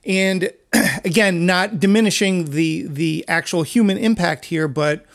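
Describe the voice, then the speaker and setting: A man hosting a conversational interview, putting his questions tactfully and in a measured way. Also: sits high, vocal fry. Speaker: podcast host, interviewer.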